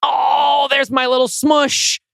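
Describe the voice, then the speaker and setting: A man's voice: very high voice, flat delivery, slow pace, vocal fry, a bit bright, no room sound, clean studio sound, pitched above the performer's own voice, exaggerated voice. A man voicing a weary, pushy but doting grandmother in her sixties in a script reading. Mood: gushing. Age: 60s.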